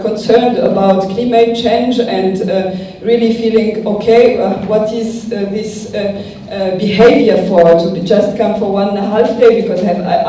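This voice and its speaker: Tunisian lady at a podium, lots of uhs, mellow voice, far off in a big echoey hall. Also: medium pitch, a little hiss or hum. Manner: hesitant, many fillers, thinking aloud. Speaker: keynote speaker. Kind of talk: improvised conference speech. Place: large conference hall.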